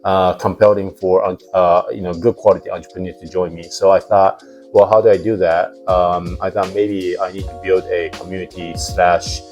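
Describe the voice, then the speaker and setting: Interview guest: low-pitched male founder, Japanese first language, halting casual speech, soft music underneath. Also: level tone. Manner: hesitant, many fillers and restarts. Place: house in Palo Alto.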